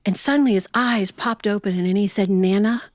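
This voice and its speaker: A middle-aged woman tells funny personal stories like a seasoned storyteller. Her delivery is folksy and animated, slipping into quoted dialogue, with a medium-pitched voice. The sound is dry, with very little room echo. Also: normal speed. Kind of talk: humorous personal storytelling to a live audience.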